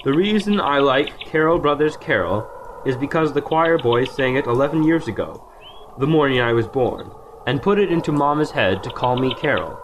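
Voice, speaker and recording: An actor playing a kindly, affectionate uncle in a family audio drama, speaking gently and with measured care. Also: male voice, medium pitch, slight background noise, close to an everyday voice. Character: kindly, affectionate uncle doting on his niece.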